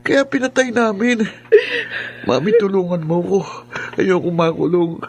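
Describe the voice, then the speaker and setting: Husky, high-pitched frightened young man. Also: lively intonation, unhurried, darkish tone, heavily acted. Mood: pleading, remorseful. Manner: stammering, pleading. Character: frightened young criminal son pleading with his mother. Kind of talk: Filipino radio drama acting.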